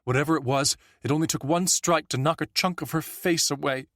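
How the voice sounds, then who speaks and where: A man's voice: on the high side, steady tone, fairly quick, a bit bright, no room sound, studio quality, voice pushed up. A man voicing a shaken woman witness who tells what she saw, in a raised, tense voice.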